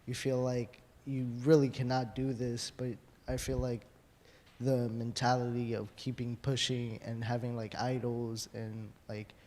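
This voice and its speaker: A man's voice: mid-range voice, flat delivery, unhurried, darkish tone. A man who is a student musician on a panel, speaking hesitantly with many fillers. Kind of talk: live panel discussion. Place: large hall. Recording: long hall reverb from a live panel.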